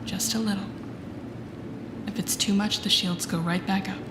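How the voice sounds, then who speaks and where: A woman playing a telepathic villain, a guarded and intense mentor with a secretly tender side, in an intimate audio roleplay with slow, breathy, deliberate delivery. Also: medium pitch, fairly even intonation, heavy background noise, natural voice. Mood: soft, coaxing. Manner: slow, deliberate, often dropping into breathy near-whispers. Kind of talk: intimate audio roleplay acting addressed to the listener. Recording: roomy echo with music behind.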